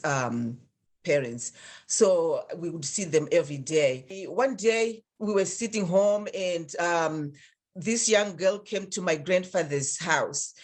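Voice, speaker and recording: Middle-aged zimbabwean woman, calm and earnest, talking through her story slowly. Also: lowish voice. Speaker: interview guest, nonprofit founder. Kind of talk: personal storytelling in an interview.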